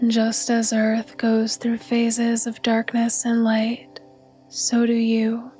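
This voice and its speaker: A woman guiding a yoga nidra meditation in a soft, breathy, soothing and unhurried voice. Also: fairly high pitch, monotone, soft background music. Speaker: meditation guide.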